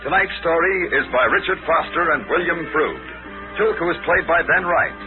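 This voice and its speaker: A man's voice: on the high side, moderate pace. A man reading credits as an announcer in the style of 1940s radio. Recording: tinny 1940s radio recording. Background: music.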